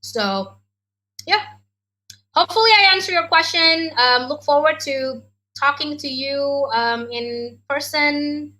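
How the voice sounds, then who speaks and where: Indonesian-accented woman adviser, upbeat Q&A talk, bright and a little high. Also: takes it slowly, poor audio. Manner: chatty, many ums and likes. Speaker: education adviser. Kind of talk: online Q&A session.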